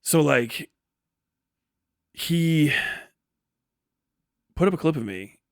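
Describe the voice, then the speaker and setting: A man's voice: a little high, animated, very slow, vocal fry, smooth, dry recording, studio quality. A man hosting a livestream with casual, unscripted commentary, talking bluntly and opinionatedly with heavy swearing as he responds to chat. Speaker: livestream host reading and answering chat.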